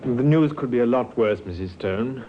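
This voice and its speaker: An actor playing a calm, discreet, probing male doctor in a 1950s radio drama. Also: medium pitch, normal speed, natural voice. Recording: dull, hissy 1950s radio recording.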